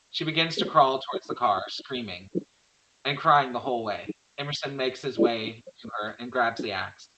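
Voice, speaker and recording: A man's voice: mid-range voice, pushed and bright, low-quality recording. A man reading the stage directions of a horror screenplay as scripted narration.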